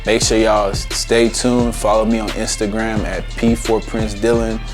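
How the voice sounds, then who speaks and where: Promo read: young man, low monotone, slangy and hyped, with guitar music underneath. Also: moderate pace. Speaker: podcast guest recording a promo. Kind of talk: promo shout-out.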